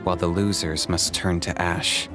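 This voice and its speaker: Very deep, solemn man played by an actor. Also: flat delivery, normal speed, music in the background, lightly acted. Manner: solemn, declamatory. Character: grave, slow, deep-voiced male reciter of solemn law. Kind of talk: video game voice acting.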